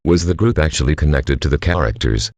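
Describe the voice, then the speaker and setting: A man plays a curious citizen phoning the police in an audio drama. The voice is extremely deep yet animated, puzzled and questioning, recorded dry and close. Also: brisk, no echo. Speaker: caller. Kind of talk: scripted phone call drama.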